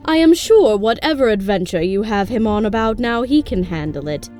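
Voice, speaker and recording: Woman as a lively, scolding pony landlady. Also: mid-range voice, moderate pace, soft background music, natural voice. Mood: fond. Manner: expressive, chiding. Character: long-suffering, motherly pony landlady, fond but scolding. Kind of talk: scripted audio drama acting.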